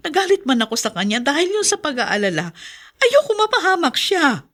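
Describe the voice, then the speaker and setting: A woman's voice: sits high, big pitch swings, fairly quick, a bit bright. A Filipino actress playing a worried, forgiving mother in a radio drama, pleading and emotional. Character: worried, forgiving, long-suffering mother of a wayward son.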